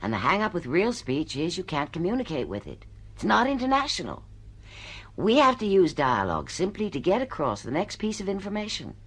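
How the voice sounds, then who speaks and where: An actress playing a shrewd, domineering woman editor in a radio drama, with an emphatic, brisk, bossy manner. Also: lowish voice, wildly swooping pitch, breathy edge, exaggerated voice. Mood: bossy, instructing. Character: brash, domineering female book editor, shrewd and pushy.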